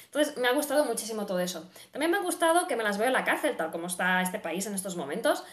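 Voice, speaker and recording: A woman's voice: medium pitch, very animated, fast. A woman in her thirties with a Spain Spanish accent, giving a casual, effusive book review and repeating words for emphasis. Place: small room at home, indoors. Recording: roomy, echoing home recording.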